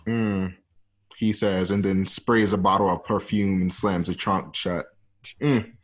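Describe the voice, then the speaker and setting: A man's voice: sits low, steady tone, a little slow. A man narrating an amateur radio play, reading stage directions in a loose, conversational, ad-libbing way. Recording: dull lo-fi home connection.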